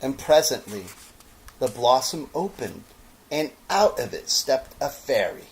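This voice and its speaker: A man reading a children's story dramatically and expressively, lingering over short phrases with pauses. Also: mid-range voice, big pitch swings, vocal fry, slight background noise, rough recording. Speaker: storybook narrator, actor.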